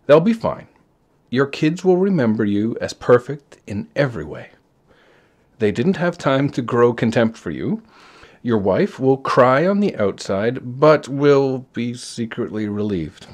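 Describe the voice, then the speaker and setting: A man as an offhand, slow-talking God. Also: mid-range voice. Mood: reassuring. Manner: offhand, deadpan, reassuring. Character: casual, matter-of-fact, kindly God, ageless authority figure. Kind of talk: storybook character voice.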